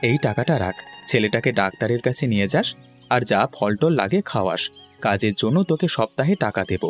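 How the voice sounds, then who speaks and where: A man's voice: on the low side, expressive, a little fast, soft background music, close to an everyday voice. A man playing a kind, earnest village fish seller in a cartoon, plain-spoken and easily discouraged. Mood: kindly. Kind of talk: cartoon voice acting.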